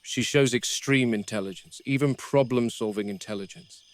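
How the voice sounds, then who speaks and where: A man's voice: mid-range voice, close to an everyday voice. Man acting a grim game warden, noisy tape. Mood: grave, wary. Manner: measured, grave, deliberate. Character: grim, wary male game warden logging predator behavior. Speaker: game warden narrating a field log. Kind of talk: found-footage horror narration, observational log. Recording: noisy VHS-style found-footage recording.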